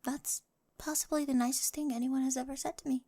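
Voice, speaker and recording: Woman doing a nerdy awkward teen girl with a crush, asmr roleplay, high voice w lots of vocal fry, chatty and whispery. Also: steady tone, brisk, dark tone. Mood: shyly grateful. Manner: chatty, quirky, rambling, often dropping to whispers.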